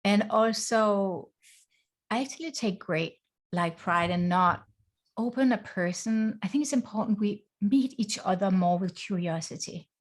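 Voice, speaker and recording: A woman's voice: medium pitch, a little slow. Earnest woman. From Denmark. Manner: earnest, reflective, speaks in short phrases. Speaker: guest, life coach. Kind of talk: conversational interview. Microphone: video call.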